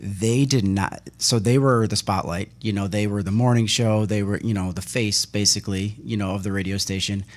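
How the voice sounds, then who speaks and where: A man's voice: lowish voice, normal speed, hardly any pauses. A man chatting casually on a local radio talk show, rambling with many fillers and restarts as he reminisces.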